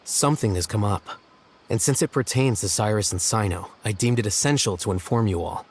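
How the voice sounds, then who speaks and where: A man voicing a cool, reserved young scholar in a video game, with calm, measured and dry delivery. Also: on the low side, slight background noise, close to an everyday voice. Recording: reverberant game scene with faint music.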